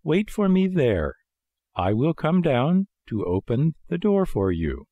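Man playing a placid, unhurried lady snail. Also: a little high, dry recording, phone-quality sound, voice pushed up, heavily acted. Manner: calm, peaceful, drawn-out. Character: calm, unhurried female snail, placidly unhelpful. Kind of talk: storybook character voice.

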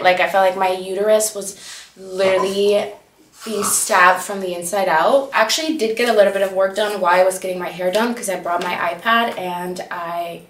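A woman's voice: mid-range voice, fairly bright tone, a little hiss or hum. Young woman, Dutch native speaker, candid chatty diary vlog, lots of "like", echoey apartment, camera a few feet off. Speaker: vlogger. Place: apartment living room and kitchen. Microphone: camera on a tripod at a distance. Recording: roomy echo from camera distance.

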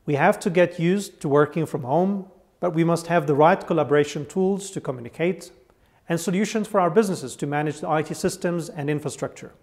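Man giving a measured scripted presentation. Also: on the high side, darkish tone. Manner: measured, deliberate delivery, listing points one by one. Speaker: conference presenter. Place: studio with virtual sky backdrop.

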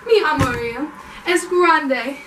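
A young woman, a native English speaker, performing an amateur scripted skit with halting, exaggerated learner delivery. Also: high-pitched. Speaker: student performer. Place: inside a family house. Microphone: camera mic from a distance. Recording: echoey rooms, distant camera mic, noisy.

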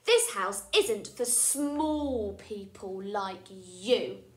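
A woman voicing a bossy little boy who keeps everyone out of his playhouse, speaking loud, slow and commanding. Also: medium pitch, lively intonation, reverberant, distant mic.

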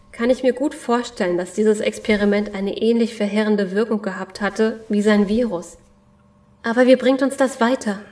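A woman playing a serious, steady survivor in an audio drama, speaking in a grave and deliberate way. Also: medium pitch, lightly acted. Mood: sober. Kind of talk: German audio drama acting.

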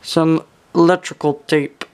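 Young guy talking slow while he fixes stuff at a bench, kinda muttering to himself, swears casually. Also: on the high side, flat delivery, darkish tone, smooth. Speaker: hobbyist electronics repairer. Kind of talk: casual hands-on repair commentary. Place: indoors at a workbench.

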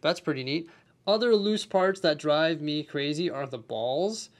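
A man's voice: fairly high pitch, a little slow. Upbeat man reviewing a building set. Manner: enthusiastic but nitpicky, explains while handling pieces. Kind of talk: hands-on product review.

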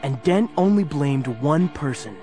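A man's voice: fairly high pitch, expressive, measured pace, music in the background. A man narrating a scripted story in a dramatic storytelling style.

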